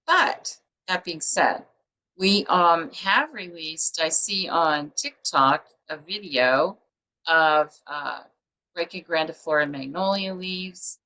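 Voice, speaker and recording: A woman's voice: fairly low pitch, lively intonation, slow pace, pushed and bright, a little hiss or hum, low-quality recording. A woman publisher hosting a book show over a video call, chatty and rambling with many fillers. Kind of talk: casual interview chat.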